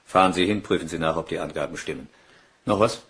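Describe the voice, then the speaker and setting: Police chief in a crime audio drama, really deep voice, clipped orders, in charge. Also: male voice, fast talker, a bit bright. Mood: brisk, commanding. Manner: clipped, authoritative. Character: commanding, brisk male police superintendent giving orders. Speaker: police superintendent. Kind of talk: German crime radio play acting.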